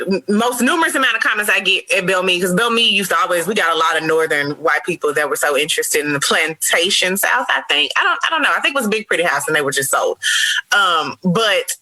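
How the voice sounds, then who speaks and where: Bright-voiced, candid American woman. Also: fairly low pitch, poor audio. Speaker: podcast guest. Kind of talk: casual history podcast conversation.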